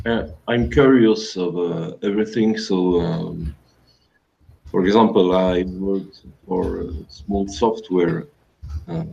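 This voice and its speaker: A French man in his fifties, a programmer guest in an interview, speaking English slowly with many pauses to search for words. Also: low-pitched, gravelly, warm tone, muffled recording. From France. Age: 50s. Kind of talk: casual interview over a call.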